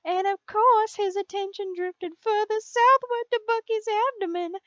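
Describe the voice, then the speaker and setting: Girl w a high voice reading fanfic out loud, kinda amused, muffled cheap recording. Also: no echo. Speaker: fan fiction reader. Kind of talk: reading a story aloud. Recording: dull, muffled lo-fi sound.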